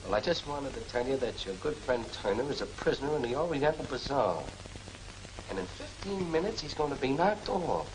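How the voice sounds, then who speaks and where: A man's voice: mid-range voice, very animated, brisk, lightly acted. An actor playing a cocky gangster henchman in an old film serial, with slangy, chummy tough talk that carries menace. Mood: gloating. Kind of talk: 1940s film serial acting. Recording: noisy old film soundtrack.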